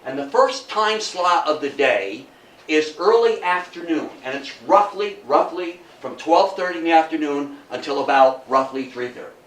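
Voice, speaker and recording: A man's voice: fairly high pitch, expressive, moderate pace, a bit bright. An older man gives an informal history talk, telling stories emphatically, repeating phrases and asking rhetorical questions. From Athens, New York, United States. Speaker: local history lecturer. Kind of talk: informal public lecture with storytelling. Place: indoor talk before a small audience. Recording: echoey room, distant mic, faint noise.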